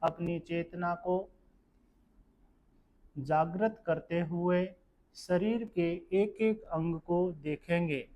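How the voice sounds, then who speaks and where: A man guiding a meditation session in a calm, measured, instructional manner. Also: sits high, dull audio. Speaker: yoga nidra meditation guide. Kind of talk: guided meditation narration.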